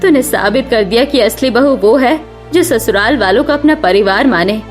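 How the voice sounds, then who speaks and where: A woman acts out a stern middle-aged mother-in-law in a cartoon melodrama. Her voice is fairly high, sharp-tongued and emphatic, over faint music. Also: animated, normal speed, close to an everyday voice. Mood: remorseful. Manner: scolding, emphatic. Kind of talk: Hindi cartoon family melodrama.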